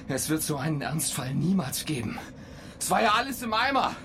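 An actress in a German radio play voicing an exasperated, foul-mouthed astronaut in training who snaps and shouts. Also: female voice, deep voice, sing-song, normal speed, background noise. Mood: irritated. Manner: shouting, snapping, coarse.

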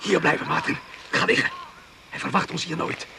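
An actor playing a steady, commanding doctor in a jungle radio drama, calm, firm and curt. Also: male voice, a little high, normal speed, vocal fry. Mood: urgent, commanding. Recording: noisy radio play recording. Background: crickets and insects.

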